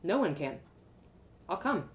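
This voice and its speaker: A woman's voice: fairly low pitch, very animated, measured pace. A woman voicing an eager, earnest young adventurer girl in a fantasy story. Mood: determined.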